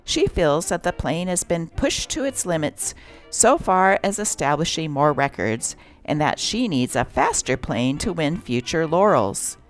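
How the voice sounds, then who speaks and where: A woman's voice: sits low, sing-song, a little slow, faint music behind. A woman reading a script aloud as host and narrator, with a theatrical delivery.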